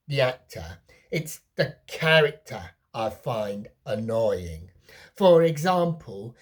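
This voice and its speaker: Low-pitched, slightly husky woman reviewing films. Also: darkish tone. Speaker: film reviewer. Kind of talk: personal movie review to camera.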